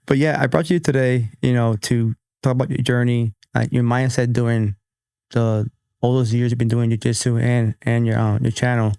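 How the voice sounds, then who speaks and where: Man, podcast host, gravelly voice, relaxed, casual swearing, dry studio. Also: mid-range voice, moderate pace, dry recording. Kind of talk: casual interview chat.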